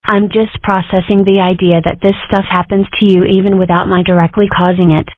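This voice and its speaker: A woman's voice: mid-range voice, little pitch movement, fairly quick, no room sound, lightly acted. Lady doing a sarcastic little fox girl, dry tinny phone-ish sound. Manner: sarcastic, mischievous. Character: cheeky young female fox girl. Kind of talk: fan comic dub.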